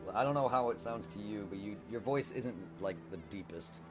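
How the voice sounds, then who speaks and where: A man streaming a game, chatting casually in a quiet voice, rambling and correcting himself as he goes. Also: fairly low pitch, fairly quick, over music. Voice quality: quiet normal voice. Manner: rambling, self-correcting, laughs easily. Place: home streaming setup.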